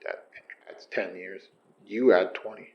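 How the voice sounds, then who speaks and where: A terse, weary detective. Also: male voice, low-pitched, unhurried, vocal fry, muffled recording, a little hiss or hum. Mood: deadpan. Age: 30s. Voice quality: terse, dry and deadpan. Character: gruff weary male detective, 38, dry and terse. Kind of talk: audio drama acting.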